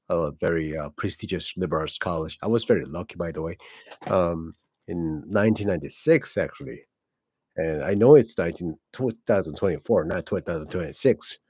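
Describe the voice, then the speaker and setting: A man's voice: low-pitched, darkish tone, no room sound. A man in his forties from South Korea, a native Korean speaker with a Korean accent, talking casually in a rambling way with many fillers and self-corrections. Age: 40s. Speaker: channel host. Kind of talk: casual personal vlog monologue.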